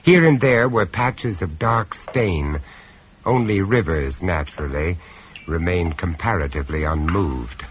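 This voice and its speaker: An actor playing a grave, observant gentleman who narrates a horror tale in a radio play, in a dramatic storytelling style. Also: low voice, measured pace. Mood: uneasy, brooding. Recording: noisy old radio drama recording.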